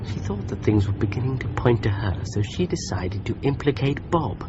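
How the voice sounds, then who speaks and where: Actor as a pensive male sleuth, mid-pitch, even thinking-aloud, muffled radio sound, clear hiss. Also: moderate pace, lightly acted. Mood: musing. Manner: musing inner monologue. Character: thoughtful male amateur sleuth driving. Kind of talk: radio drama.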